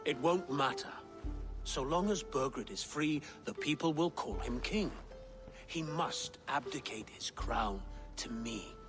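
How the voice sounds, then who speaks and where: A man's voice: medium pitch, lively intonation, takes it slowly, music in the background. A man playing a solemn, aggrieved middle-aged claimant to a crown in a video game, speaking formally and insistently. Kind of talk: dramatic video game acting.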